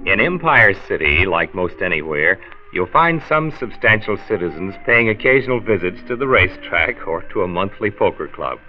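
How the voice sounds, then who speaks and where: A man's voice: low-pitched, very animated, normal speed, faint music behind. An American man playing a genial, folksy county health commissioner in 1940s radio drama style. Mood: measured, earnest. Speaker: narrator and lead actor of a health radio serial. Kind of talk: 1940s radio acting and narration. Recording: dull vintage 1940s radio transcription.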